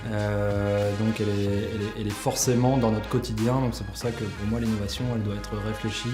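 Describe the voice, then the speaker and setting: Male interviewee, flat intonation, halting explanation, roomy with music underneath. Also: fairly low pitch. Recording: roomy sound with clear music behind.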